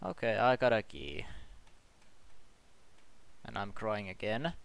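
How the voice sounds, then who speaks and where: A man's voice: fairly low pitch, animated, gravelly. Hesitant Finnish-accented young man gaming. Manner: halting, searching for words, many restarts; startled yelps and shouting when scared. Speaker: gameplay commentator. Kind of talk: let's play commentary. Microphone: headset. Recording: loud game music behind the voice.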